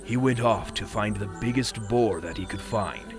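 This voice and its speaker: An actor playing a good-natured, easily flustered young Viking prince who tells tales theatrically with dramatic pauses. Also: male voice, lowish voice, lightly acted. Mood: dramatic storytelling. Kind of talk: scripted fairy-tale storytelling. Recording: music behind the voice.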